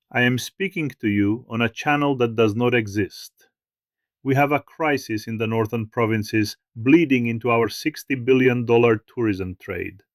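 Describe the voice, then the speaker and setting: An actor playing a grave, formal government minister from Thailand, giving a scripted message in a mystery drama with solemn, deliberate phrasing. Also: male voice, medium pitch, no echo, clean studio sound, natural voice.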